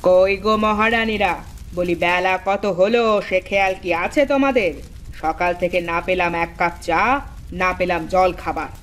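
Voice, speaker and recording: A woman's voice: on the low side, normal speed, lightly acted. Grumbling, self-pitying older mother-in-law played by a woman. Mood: scolding, aggrieved. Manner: scolding, complaining, sarcastic. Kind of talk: cartoon voice acting, fairy-tale drama.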